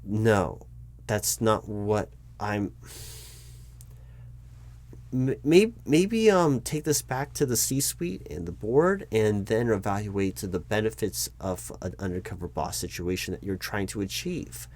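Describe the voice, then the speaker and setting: Man playing a low-voiced, exasperated recruiting manager. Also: animated, a little mellow. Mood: exasperated, critical. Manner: halting, repetitive, explanatory, insistent. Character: flustered, exasperated male human resources recruiting manager, lecturing his boss. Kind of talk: one-sided improvised audio roleplay, loud spoken.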